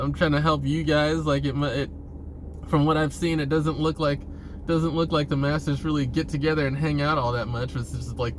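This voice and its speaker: Man, somewhat high-pitched, informal vlog monologue, halting, repetitive, sweary, noticeable rumbling background noise. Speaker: vlogger. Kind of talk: casual rambling monologue to viewers.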